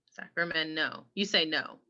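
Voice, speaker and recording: Bright-voiced young woman, throat-clearing chatter. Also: low-pitched, moderate pace, dull audio. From California. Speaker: podcast co-host. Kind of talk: casual chat.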